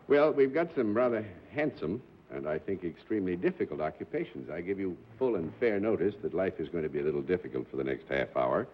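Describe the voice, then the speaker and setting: Courtly low-voiced television quiz moderator man. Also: animated, quick pace, few pauses, a little hiss or hum. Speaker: panel show moderator. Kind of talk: formal, genial game-show hosting. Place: television studio with live audience. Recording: dull 1960s television broadcast audio.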